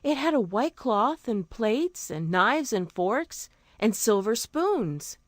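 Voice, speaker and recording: Expressive woman narrating a fairy tale. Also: on the high side, slow, dry recording, clean studio sound. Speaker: storyteller.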